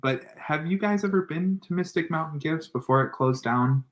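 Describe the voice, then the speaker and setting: A man in his twenties, a guest on an online radio show, explaining a tarot card in a relaxed, lively way and laughing often. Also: fairly high pitch, moderate pace. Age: 20s. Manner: animated, laughs often, warm spiritual explanations. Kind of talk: casual online radio chat, tarot reading.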